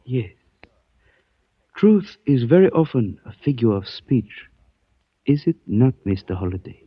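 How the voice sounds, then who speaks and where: A cryptic, courtly antique dealer. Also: male voice, mid-range voice, big pitch swings, breathy edge, no room sound. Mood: cryptic, courteous. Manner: stilted formal phrasing, measured and evasive. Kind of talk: 1940s radio acting. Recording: dull dry old radio recording.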